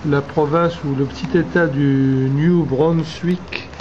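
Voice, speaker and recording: A man with a French accent narrating his road trip in a slow, hesitant way, pausing often with fillers and restarting words. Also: fairly high pitch, fairly even intonation. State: tired. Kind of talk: casual travel narration. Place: in a car and outdoors by a river. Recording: dull lo-fi sound with clear noise.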